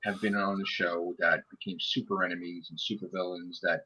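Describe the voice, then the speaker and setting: Husky guy hosting a chat, kinda low, rambly and sweary, muffled call audio. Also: little pitch movement, brisk, sharp tone. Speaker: host. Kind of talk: casual group chat.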